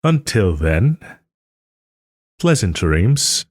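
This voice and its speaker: A man playing a smug but soft-hearted nobleman and former lover in an audio roleplay, suave and refined, with gentle teasing and hesitant pauses. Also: lowish voice, big pitch swings, slightly rough, no room sound, clean studio sound, full character voice. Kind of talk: audio roleplay, dramatic monologue to listener.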